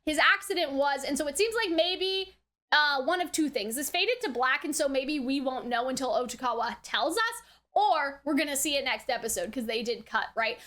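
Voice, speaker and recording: A woman's voice: sits high, lively intonation, moderate pace, fairly bright tone. A woman hosting a reaction video, thinking through her theories aloud in a casual, rambling way and often checking that the listener follows. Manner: rambling, theorising aloud, frequently checks with "right?" and "you know". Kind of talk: casual unscripted anime review commentary.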